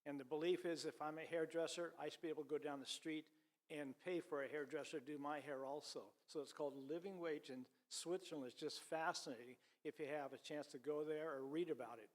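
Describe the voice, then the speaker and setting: A man's voice: on the high side, steady tone, brisk, big-room sound, low-quality recording. A man making an informal explanatory comment in a group discussion. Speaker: church class participant.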